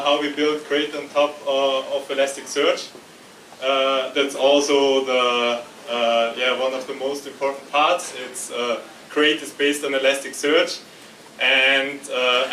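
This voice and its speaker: A man from Austria giving a tech meetup talk, halting with many fillers and restarts, jet-lagged. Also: fairly high pitch, bright, forceful tone. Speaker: conference presenter. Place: meetup room. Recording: echoey room, distant mic, faint noise.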